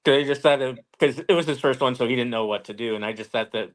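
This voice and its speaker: A man's voice: medium pitch, fast. A man as an interview guest, chatting casually and telling stories in a plain-spoken way with short simple answers. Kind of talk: casual storytelling chat.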